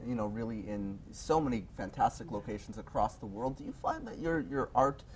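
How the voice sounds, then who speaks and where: A man's voice: mid-range voice, big pitch swings, a little fast, a little hiss or hum. A young man co-hosting a daytime TV show from a studio set, asking friendly but rambling questions with restarts. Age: young adult. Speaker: TV show co-host. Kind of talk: daytime TV interview.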